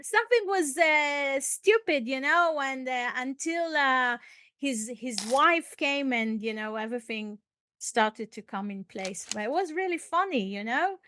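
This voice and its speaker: High-voiced woman teaching at an unhurried pace. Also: slight background noise. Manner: expressive, prompts and repeats words. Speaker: English teacher and podcast host. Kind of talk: casual conversational language lesson.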